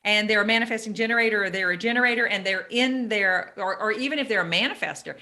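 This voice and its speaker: A middle-aged woman coach talking on a podcast, emphatic and quick, restarting her sentences as she goes. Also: mid-range voice, sharp tone. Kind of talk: casual podcast conversation.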